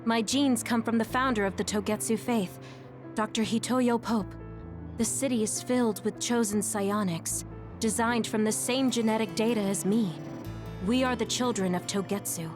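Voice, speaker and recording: A woman's voice: a little high, fairly even intonation, moderate pace, music in the background, lightly acted. An actress playing a solemn young female clone in an English game dub, with a formal way of speaking.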